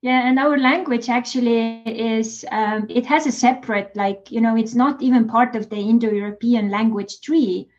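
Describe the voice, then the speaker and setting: A woman's voice: on the high side, steady tone, normal speed, few pauses. Woman, Estonian accent, podcast host, chatty, slightly dull audio. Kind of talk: casual interview chat.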